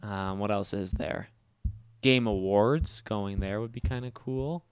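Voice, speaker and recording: Nasal, fast-talking man streaming. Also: lowish voice, smooth, no room sound, studio quality. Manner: fast, loud talker; chatty and rambling, reads and answers chat. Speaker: livestream host. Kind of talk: casual livestream chat while gaming.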